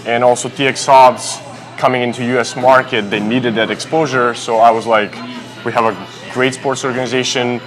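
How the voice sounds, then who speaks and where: Young man, halting speech. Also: mid-range voice, fairly bright tone. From Serbia. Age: young adult. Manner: halting, many fillers, non-native phrasing. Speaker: basketball player. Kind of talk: casual interview answers.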